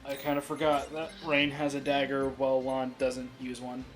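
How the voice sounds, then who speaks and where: A man's voice: medium pitch, monotone, moderate pace. A man casually commentating on a game, rambling with many fillers and restarts. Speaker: let's play commentator. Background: game music.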